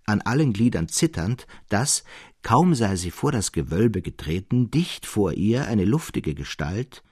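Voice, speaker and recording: Husky, very expressive male storyteller. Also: lowish voice, no echo, studio quality. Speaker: audiobook narrator. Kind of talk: literary scripted narration, dramatic reading.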